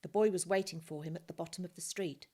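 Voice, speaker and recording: A woman's voice: fairly low pitch, steady tone, fast talker, mellow. A woman narrating a children's novel as a scripted reading.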